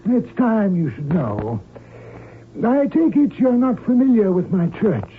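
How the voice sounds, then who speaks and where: An actor playing a fanatical, pompous cult preacher and hijacker in an old-time radio drama, speaking in pious scriptural phrases. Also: male voice, high voice, sing-song, measured pace. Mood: commanding, smug. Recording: tinny, band-limited old radio recording, hissy.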